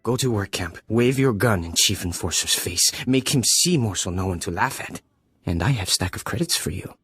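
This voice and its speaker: An actor voicing a small, fawning, fretful alien administrator in a video game, raspy and eager, in clipped broken grammar. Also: male voice, lowish voice, animated, moderate pace, fairly bright tone, dry recording. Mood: fawning, aggrieved. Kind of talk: video game character dialogue.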